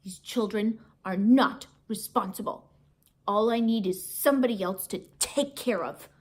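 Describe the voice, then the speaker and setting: Woman playing a grumpy, menacing schoolteacher, slow and emphatic. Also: medium pitch, close to an everyday voice. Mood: grumbling. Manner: muttering, grumbling, drawn-out emphasis. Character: grumpy, menacing adult female substitute teacher.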